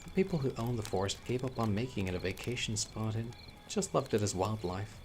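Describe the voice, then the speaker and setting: Low-voiced man acting as a campfire horror storyteller. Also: darkish tone, slight background noise. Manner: conversational, teasing, with dramatic pauses. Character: young male newcomer telling eerie campfire local legends. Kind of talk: casual spoken storytelling in horror audio roleplay.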